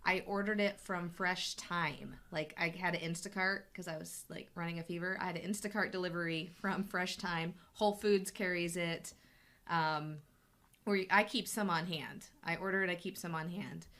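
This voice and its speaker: A woman's voice: lowish voice, fairly even intonation, moderate pace, fairly bright tone. A woman hosting a casual live question-and-answer chat as a health coach, talking in a chatty, rambling way with many restarts, her voice still recovering from an illness. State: voice still recovering from being sick. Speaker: health coach and show host.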